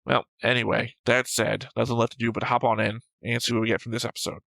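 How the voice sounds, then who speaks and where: A man's voice: medium pitch, flat delivery, fast talker, creaky, fairly bright tone, no echo. A man co-hosting an anime reaction show, chatting casually and unscripted in a dry, teasing way. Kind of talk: casual unscripted banter and commentary.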